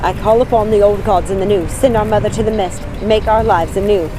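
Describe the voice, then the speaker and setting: Young storybook witch woman reader: protective, fierce, intense, pleading yet commanding, with a bright edge. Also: medium pitch, steady tone, fairly quick, background noise, natural voice. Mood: incanting.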